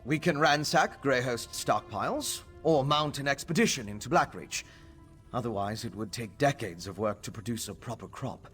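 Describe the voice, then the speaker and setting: An actor playing a learned male alchemist scholar in a video game, measured and explanatory. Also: mid-range voice, lively intonation, fairly bright tone, faint music behind, lightly acted. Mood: determined. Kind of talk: studio video game voice acting.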